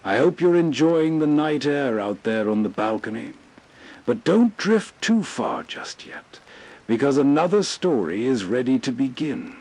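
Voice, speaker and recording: Slow, expressive male late-night host. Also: medium pitch, low-quality recording. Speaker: host introducing old radio stories.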